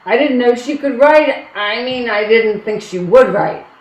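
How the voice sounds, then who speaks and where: A woman playing an anxious, protective Irish village woman, pleading deliberately in a stage play reading. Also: fairly high pitch, lively intonation, muffled recording. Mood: puzzled. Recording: roomy, echoing stage-reading sound.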